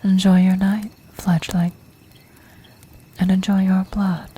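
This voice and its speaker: Woman as a slow, whispering ancient vampire countess. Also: medium pitch, little pitch movement. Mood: intrigued, inviting. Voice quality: soft, breathy, whispery. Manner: slow, formal, measured, regal. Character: ancient, commanding female vampire countess, poised and scheming. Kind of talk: ASMR fantasy roleplay.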